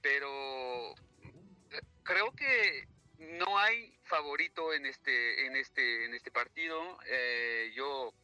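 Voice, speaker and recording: Guy on radio, spain-style with tinge, really bright voice, pausing for words, bit of music. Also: mid-range voice, lively intonation, no echo, rough recording. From France. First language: French. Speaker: interview guest from the French community. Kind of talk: casual radio interview answers.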